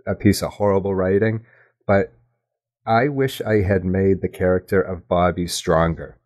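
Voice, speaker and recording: Deep-voiced man, reflective and hesitant. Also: steady tone, moderate pace, darkish tone. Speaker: podcast guest, audio drama writer. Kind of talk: casual reflective interview talk.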